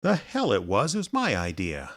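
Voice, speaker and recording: An actor playing an older, wry private eye with a dry, sardonic, kindly manner in a radio drama. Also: male voice, lowish voice, wildly swooping pitch, normal speed. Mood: dry banter. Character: aging wry small-town private eye, gruff but kindly. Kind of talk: radio drama acting with narration.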